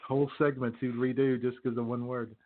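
A man's voice: mid-range voice, little pitch movement, brisk. Man with a cough on a tinny line. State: coughing, clearing throat. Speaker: co-host. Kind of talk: casual radio banter. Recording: phone-like lo-fi line.

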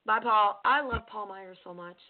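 A warm, effusive woman co-hosts a radio talk show, thanking guests and laughing easily. Her voice sits in a middle pitch for a woman. The sound is thin and narrow, like a phone line. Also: moderate pace. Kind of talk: casual radio chat.

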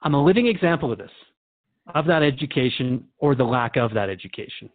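A man's voice: medium pitch, lively intonation, brisk, dry recording, natural voice. A man playing an earnest, respectful radio host in a drama, questioning in a conversational, hesitant and probing way. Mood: troubled, sincere. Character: earnest, questioning male radio interviewer, respectful but troubled. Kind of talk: radio drama interview acting. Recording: dull lo-fi, dry audio.

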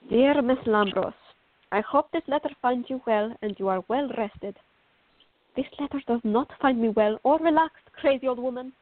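A woman's voice: on the high side, measured pace, pauses often. A woman acting a fiery, stubborn, sarcastic teenage girl in a radio drama. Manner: headstrong, sarcastic. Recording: muffled, phone-like narrow-band audio.

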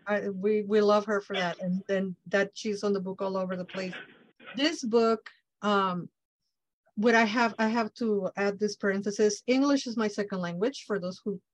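A woman from Mexico hosting an interview, speaking English as her second language with a Mexican Spanish accent, warmly enthusiastic. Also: mid-range voice, steady tone, moderate pace, no room sound, low-quality recording. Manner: effusive, enthusiastic. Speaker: host. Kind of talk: interview chat.

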